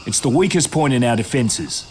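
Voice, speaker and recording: A man acts out a businesslike survivor taking charge in a zombie video game. He is terse and firm, in a medium male pitch over faint cricket ambience. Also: expressive, moderate pace, a little mellow, a little hiss or hum, lightly acted. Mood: determined. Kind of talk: video game cutscene acting.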